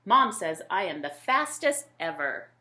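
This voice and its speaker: A woman's voice: lowish voice, big pitch swings, unhurried, sharp tone, heavily acted. A woman voicing an imaginative, boastful little boy from a storybook, declaring his dress-up adventures emphatically. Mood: boastful, excited. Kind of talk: children's storybook character reading.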